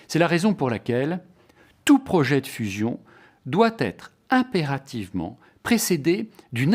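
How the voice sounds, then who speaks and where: A man's voice: on the high side, darkish tone. A man delivering a scripted explanatory lecture in a formal, didactic way, stressing each point as he lists it. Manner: formal, didactic, emphatic enumeration.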